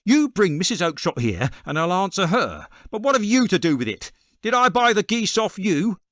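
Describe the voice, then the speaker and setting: A furious stallholder, acted by a male narrator in an audiobook, bullying and fierce. He shouts in a raised, animated voice with very little room sound. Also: sits high, normal speed, no room sound, studio quality, voice pushed up.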